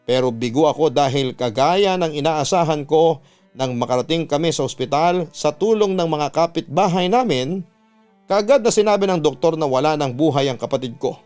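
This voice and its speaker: Casting: male radio storyteller, fairly high, resonant voice, dramatic first-person narration, music underneath. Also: expressive, normal speed, darkish tone, clear, resonant tone. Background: background music.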